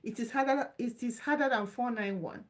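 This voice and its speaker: Woman, live Q&A host, casual and reassuring, fairly high voice. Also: moderate pace. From Nigeria. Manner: chatty, loose and repetitive, reassuring. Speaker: live stream host answering visa questions.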